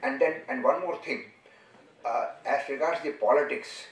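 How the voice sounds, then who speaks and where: A man with an Indian accent giving a formal lecture in an earnest, discursive and halting way. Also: mid-range voice. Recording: echoey room, distant microphone.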